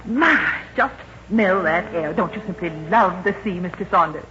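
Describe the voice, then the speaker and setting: Gushing, fussy society mother, played by a woman, lively. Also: fairly low pitch, moderate pace, lightly acted. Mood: gushing, coy. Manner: chatty, coy, solicitous. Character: gushing, fussy, matchmaking middle-aged mother. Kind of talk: 1940s radio comedy acting. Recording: dull, faintly noisy old radio broadcast.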